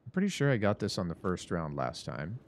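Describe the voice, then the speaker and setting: A man's voice: sits low, sing-song, a little fast, slight background noise. A man in his thirties giving rambling, self-mocking commentary while streaming a horror game from his home setup. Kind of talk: casual gameplay commentary.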